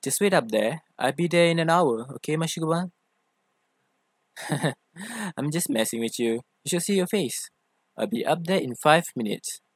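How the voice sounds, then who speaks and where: A man's voice: a little high, expressive, moderate pace, pauses often, breathy edge, no room sound. A man playing an affectionate, theatrical young boyfriend who teases and coaxes in an ASMR roleplay. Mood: playful, teasing. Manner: theatrical, teasing, coaxing. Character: playful, affectionate, teasing young femboy boyfriend.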